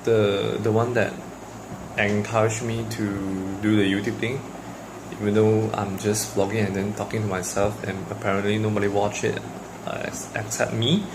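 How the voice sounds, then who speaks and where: A man's voice: lowish voice, little pitch movement, moderate pace, noisy background. A young man from Malaysia with a Malaysian English accent, Mandarin his first language, talking casually to the camera in a personal vlog with lots of fillers and restarts. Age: young adult. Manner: hesitant, many fillers and restarts. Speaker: vlogger.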